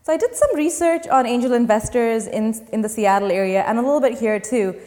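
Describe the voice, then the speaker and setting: A woman's voice: a little high, expressive, brisk, warm tone. A young woman from Dubai, a startup founder, answering interview questions in an earnest, step-by-step way. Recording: long reverb.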